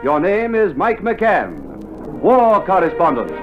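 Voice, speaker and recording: A man's voice: high-pitched, big pitch swings, takes it slowly. A man as series announcer, giving a portentous, declamatory scripted radio introduction on a dull old vinyl recording. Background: loud music.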